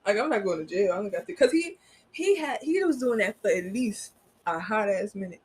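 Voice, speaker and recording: A woman's voice: medium pitch, expressive, a little fast, a little mellow, breathy edge. A young woman co-hosting a casual podcast, blunt and skeptical, talking in heavy slang. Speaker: podcast co-host. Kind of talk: casual chat.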